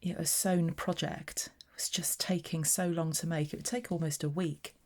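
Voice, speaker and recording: A woman chats away as she works through a papercraft tutorial, describing each step aloud. Her voice sits low and sounds mellow and unhurried. The talk is casual and friendly in a how-to way. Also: fairly even intonation. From southeast England. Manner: chatty, narrating her own hands-on work. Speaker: papercraft demonstrator. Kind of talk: casual craft tutorial chat.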